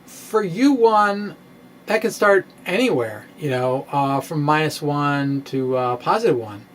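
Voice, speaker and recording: A middle-aged man gives an informal, theatrical science monologue in a halting, quirky storytelling manner. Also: on the high side, animated, a little hiss or hum. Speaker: science vlogger, amateur physicist. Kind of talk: informal dramatic blog reading, explanatory monologue. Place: indoor room with bookshelves. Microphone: microphone on stand, close in front.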